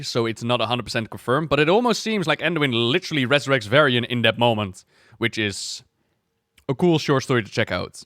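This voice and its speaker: A man's voice: mid-range voice, sharp tone, clean tone, dry recording, clean studio sound. A man giving casual, enthusiastic reaction commentary on video game story scenes, speaking effusively.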